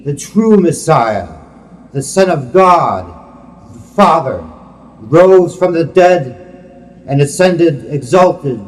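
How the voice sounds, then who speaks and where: A man giving a solemn, reverent religious poetry reading in a measured, declamatory manner. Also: on the high side. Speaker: poet reading own work. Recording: long hall-like reverb.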